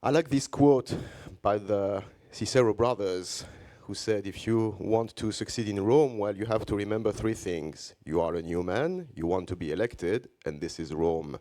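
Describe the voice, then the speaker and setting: A Belgian French man with a very low voice gives a conference talk in English. His delivery is measured and deliberate, with regular pauses between phrases. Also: warm tone. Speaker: conference speaker, journalist. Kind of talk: conference presentation.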